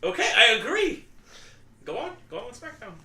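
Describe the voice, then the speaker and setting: Bright, animated young man arguing his point. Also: very high-pitched, brisk, a little hiss or hum. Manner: argumentative, laughs a lot. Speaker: podcast co-host. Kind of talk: casual wrestling review chat. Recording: echoey room.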